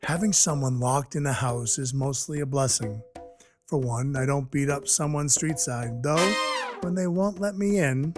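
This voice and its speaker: An actor playing a sardonic dwarf who works as Santa's enforcer, telling his story in a wry, mock-polite deadpan for an audio drama. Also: mid-range voice, a little slow, close to an everyday voice. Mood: dry, polite. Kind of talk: first-person audio drama narration with dialogue. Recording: music behind the voice. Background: music.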